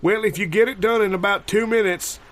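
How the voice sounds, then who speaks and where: Improv audio sketch: man as fussy flood warner, pitched very high, nagging and insistent. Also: brisk, fairly bright tone. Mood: urgent, nagging. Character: fussy high-voiced flood warner handing out swim floats.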